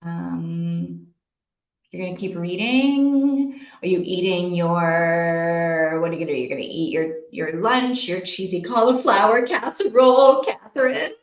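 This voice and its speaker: A woman's voice: lowish voice, a little slow, highs cut off. A woman hosting a casual live stream, chatting informally and responding to viewers as she goes. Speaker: host of a live reading-sprint stream. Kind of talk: casual chat, reading out and answering viewer comments. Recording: roomy, echoing sound.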